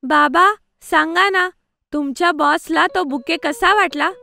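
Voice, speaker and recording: A woman's voice: very high voice, measured pace, creaky, bright, forceful tone, exaggerated voice. A woman voicing a cheerful, sensible young girl in a children's cartoon, with an eager, caring manner. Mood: excited, pleased. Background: background music.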